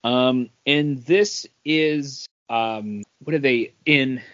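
A man's mid-pitched voice in a dry, slightly dull recording. He chats casually as a podcast co-host, halting and rephrasing as he works through his thoughts. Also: a bit bright, dry recording. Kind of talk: casual comic book discussion.

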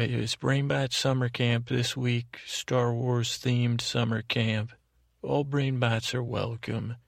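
A male podcast host with a creaky, gravelly voice, rambling haltingly through a whimsical, self-deprecating bedtime monologue in a deliberately dull drone. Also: mid-range voice, dry recording, clean studio sound.

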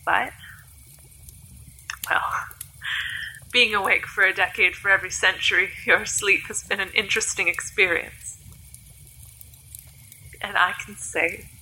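A woman's voice: medium pitch, steady tone, measured pace, long pauses, bright, forceful tone, rough recording. A woman playing an ancient, sickly vampire-elf hybrid in an audio drama, guarded, wry and resigned in her delivery. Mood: resigned, sad.

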